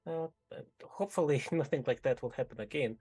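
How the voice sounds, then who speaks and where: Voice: mid-range voice, a little fast, mellow, breathy edge, voice pushed up, close to an everyday voice. A game master voicing an earnest woman with an accent who speaks formally without contractions. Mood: warm.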